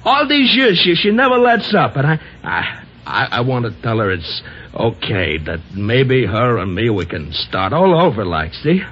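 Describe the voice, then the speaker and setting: An actor playing a simple, lovesick, punch-drunk American ex-boxer in an old radio drama, stammering and repeating himself. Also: male voice, medium pitch, big pitch swings, slightly rough. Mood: eager, wistful. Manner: stammering, halting, repeats words. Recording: dull, noisy old radio recording.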